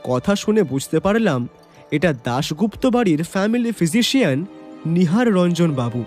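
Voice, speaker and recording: A detective's reflective male companion narrates an audio mystery. His voice is high for a man and very lively in pitch, with expressive, atmospheric storytelling over music. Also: normal speed. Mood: expectant. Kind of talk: dramatised first-person story narration.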